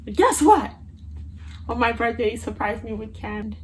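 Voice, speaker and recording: A woman's voice: on the high side, animated, moderate pace, a little mellow, slight background noise. A woman in her twenties with a Haitian Creole accent, talking casually to her viewers in a chatty, playful vlog, recorded on a phone. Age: 20s. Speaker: vlogger. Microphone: phone camera.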